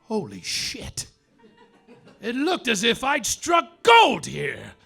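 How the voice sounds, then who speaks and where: A man narrating and acting a hardboiled detective in a live stage comedy, deadpan noir delivery with sudden yelled outbursts. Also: very high voice, slow pace, gravelly, husky, soft background music. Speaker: lead performer in a live comedy play, as the detective.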